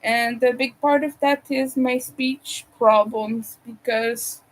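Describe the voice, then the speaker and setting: A woman's voice: fairly high pitch, fairly even intonation, slow pace, rough recording. A woman who is not a native English speaker, chatting casually while she crochets, hesitating and restarting words. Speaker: crochet vlogger.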